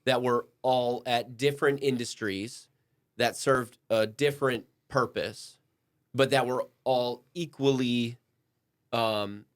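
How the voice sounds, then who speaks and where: A man's voice: medium pitch, steady tone, slow pace. Man hosting a casual podcast discussion. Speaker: podcast host.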